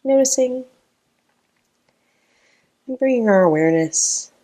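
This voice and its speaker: A woman leading a guided meditation in a calm, gentle, unhurried manner with long pauses between phrases. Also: on the high side.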